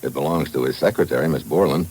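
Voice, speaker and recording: An actor playing a tough, shrewd investigator in a 1950s radio thriller, with clipped, matter-of-fact delivery. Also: male voice, rumbling low, fast talker, a little mellow, husky. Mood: probing, brisk. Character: tough, shrewd male investigator narrating his own case. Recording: noisy old radio recording.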